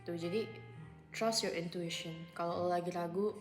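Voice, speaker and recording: A woman's voice: fairly low pitch, fairly even intonation, normal speed, music in the background. A woman in her twenties talking casually to camera at home in a vlog, chatty and full of fillers, often slipping into English phrases. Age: 20s. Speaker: vlogger. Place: at home, indoors. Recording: echoey room.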